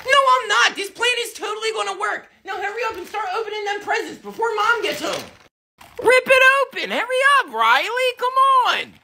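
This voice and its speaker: Squeaky whiny kid, lady voicing him, middle child, always complaining, very animated. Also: brisk, gravelly, full character voice. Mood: eager. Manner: whiny, pleading, shouty. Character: young boy, whiny, impatient middle child.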